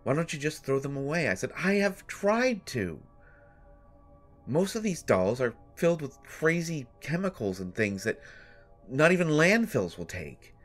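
A man playing a haunted, sleepless brother telling a horror story in first person, with halting, deliberate phrasing and long pauses. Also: a little high, very animated. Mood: exasperated, pleading. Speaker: audio drama storyteller. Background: music.

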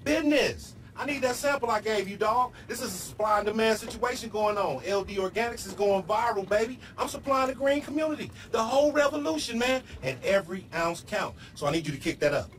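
High-voiced, goofy American street hustler. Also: male voice, lively intonation, fairly bright tone, far from the mic. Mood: boastful, needling. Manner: slangy, boastful, fast-talking hustler patter. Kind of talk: video game cutscene acting.

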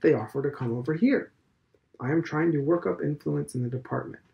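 Pompous old schoolmaster, as a male reader puts him on, preachy, emphatic, raised gravelly voice. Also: fairly high pitch, highs cut off. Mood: insistent. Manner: emphatic, lecturing, slow stressed phrases. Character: pompous elderly male headmaster, preachy and stern. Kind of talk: audiobook character reading.

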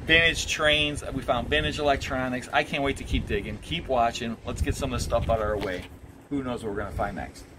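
A man in his forties with an Ohio accent, an auctioneer giving excitable vlog commentary with showman asides while digging through a storage unit, recorded by a handheld camera outdoors with loud background noise. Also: mid-range voice, fairly quick. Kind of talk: casual vlog commentary to viewers.

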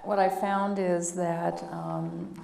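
Clerk lady at a council meeting, voice gone deep today, kinda flat, explaining stuff plainly. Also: slow pace, gravelly. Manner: practical, matter-of-fact explaining with frequent "um". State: voice deeper than usual today. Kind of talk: explaining procedure at a public meeting.

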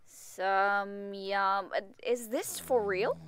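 A woman's voice: mid-range voice, drawn-out words, fairly bright tone. An actress playing an impatient, lovestruck young female ghost in an audio play, sceptical and pleading in manner. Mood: exasperated.

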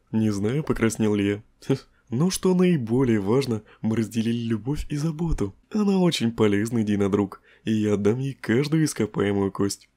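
A man's voice: lowish voice, warm tone. A man voicing a lazy, joking male skeleton in a fan comic dub, drawling and chuckling at his own puns.

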